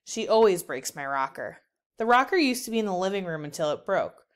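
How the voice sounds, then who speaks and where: A woman reads a children's story aloud as a storyteller. Her voice sits slightly low, with a smooth, clean tone. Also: big pitch swings, brisk. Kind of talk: children's book narration.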